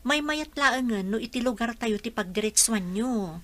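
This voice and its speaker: A woman's voice: fairly high pitch, expressive, moderate pace, fairly bright tone. Caring, worried Ilocano mother. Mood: concerned, reassuring. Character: caring, worried mother counselling her grown daughter. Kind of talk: radio drama acting.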